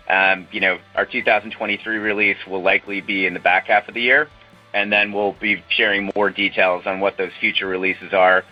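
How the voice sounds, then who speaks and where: A man speaking as a company executive on a corporate earnings call, in a halting businesslike way. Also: lowish voice. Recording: muffled conference call audio, faint music.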